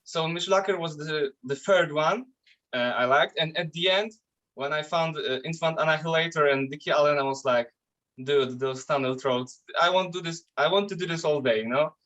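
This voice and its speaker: Polish-accented metal vocalist, casual and higher-voiced. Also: male voice, fairly bright tone, lo-fi sound. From Poland. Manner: loose, swearing, halting with restarts. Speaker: metal band vocalist, guest. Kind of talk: casual interview chat.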